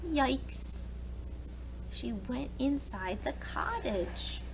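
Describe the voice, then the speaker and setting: A woman reading a storybook aloud to young children in an expressive way, pausing to explain things to them. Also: high voice, gravelly, a little hiss or hum, poor audio. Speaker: preschool read-aloud teacher.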